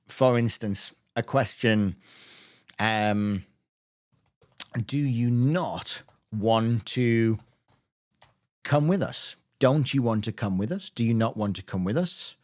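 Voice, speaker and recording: Low-voiced man teaching grammar. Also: animated. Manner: patient, slows down on key words. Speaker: English teacher. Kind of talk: explaining grammar to camera.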